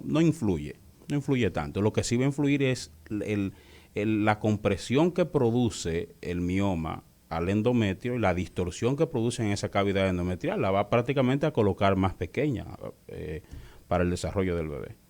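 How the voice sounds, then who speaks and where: A male doctor explains things as an interview guest, didactic and halting, often repeating words. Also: on the low side, expressive, a little mellow.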